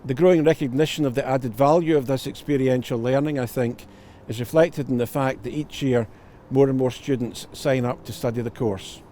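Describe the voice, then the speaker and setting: A man with a warm, dark tone reads out a prepared testimonial in the role of a solicitor and tutor. His phrasing is formal and careful, over a light layer of background noise. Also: mid-range voice, fairly even intonation, moderate pace. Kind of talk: scripted promotional testimonial.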